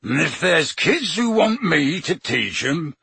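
A man voicing a huge, gruff male gamekeeper who is crying, with a croaky rough dialect. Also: a little high, big pitch swings, takes it slowly, sharp tone, no room sound, voice pushed up, exaggerated voice. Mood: grieving.

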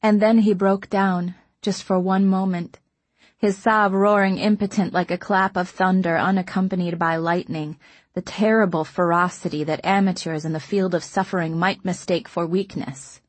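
A woman narrating a novel in the first person, reading softly and intimately at a measured pace. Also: on the low side, steady tone, no echo. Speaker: audiobook narrator.

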